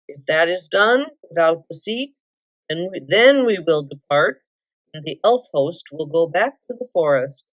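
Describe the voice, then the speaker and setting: A woman's voice: medium pitch, pitched above the performer's own voice, close to an everyday voice. A woman voicing a firm, fair male leader in a storybook, stern and formal. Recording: dull lo-fi roomy recording.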